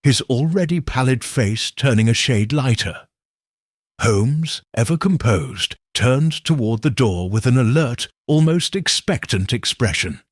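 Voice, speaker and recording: A man narrating a detective story in the first person with slow, expressive delivery. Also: medium pitch, dry recording, clean studio sound. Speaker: audiobook narrator.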